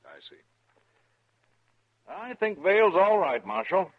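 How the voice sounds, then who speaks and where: An actor playing a curt, skeptical cavalry major in a 1950s radio western, with a clipped, authoritative and dismissive manner. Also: male voice, high voice, sing-song, slow, full character voice. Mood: dismissive, suspicious. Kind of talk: 1950s radio drama acting. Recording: tinny vintage 1950s radio recording.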